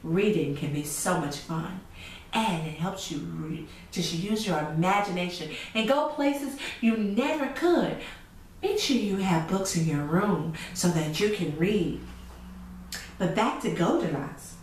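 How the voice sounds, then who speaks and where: A woman's voice: fairly low pitch, measured pace. Children's storytime host, woman, middle-aged: emphatic, questioning delivery, very echoey, recorded from a distance. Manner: animated, emphatic, asks the listener questions. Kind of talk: children's storytelling and moral lesson. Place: small indoor room with chalkboard set. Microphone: camera mic a few feet away. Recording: echoey room, distant camera mic, faint noise.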